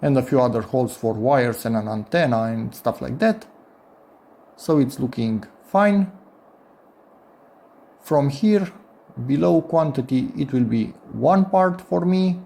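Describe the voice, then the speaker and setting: A man's voice: mid-range voice, measured pace, gravelly, long pauses, mellow. A man talking informally and a little hesitantly through a hands-on DIY demonstration, thinking aloud as he goes. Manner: informal, hesitant, self-narrating. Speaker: host of a DIY electronics channel.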